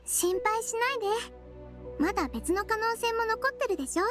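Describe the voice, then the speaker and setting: A woman voicing a tiny, kindly girlish nurse creature in a video game, gentle, earnest and composed. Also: extremely high pitch, expressive, moderate pace, music in the background. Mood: reassuring. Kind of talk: Japanese game voice acting.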